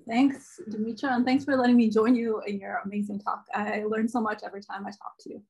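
A woman ethnographer with a quiet voice presenting research on a video call, casual with many ums and uhs. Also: mid-range voice, little pitch movement, brisk, husky, muffled recording. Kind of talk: academic conference talk. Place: home room. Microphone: laptop webcam on video call.

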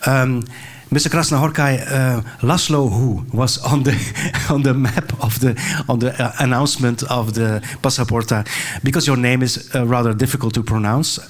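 A man's voice: medium pitch, fairly even intonation, moderate pace. Older guy hosting a book talk, lots of uh and restarts. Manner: earnest, restarts and fillers. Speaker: moderator. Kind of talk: onstage introduction and interview. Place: stage of a large hall. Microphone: handheld mic.

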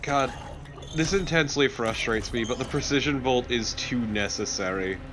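A man's voice: medium pitch, normal speed, background noise. A man co-commentating on a video game in casual, blunt, opinionated chat with some swearing. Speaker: gaming let's play co-commentator.

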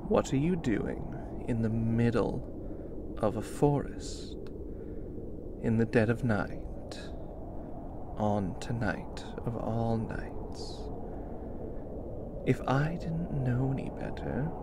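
A man acts out a theatrical male vampire in an ASMR roleplay, speaking slowly over very noisy background ambience. He is aloof and teasing, stretching his phrases and often sinking to a breathy near-whisper. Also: medium pitch, animated. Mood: teasing menace. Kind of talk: ASMR roleplay, slow scripted monologue.

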